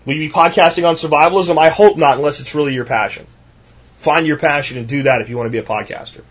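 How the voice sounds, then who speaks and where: A man in his thirties with a high, husky voice delivers a solo podcast monologue. He talks emphatically, hammering points with repetition and rhetorical questions. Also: fast talker, lo-fi sound. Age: 30s. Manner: emphatic, ranting, repeats himself. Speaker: podcast host.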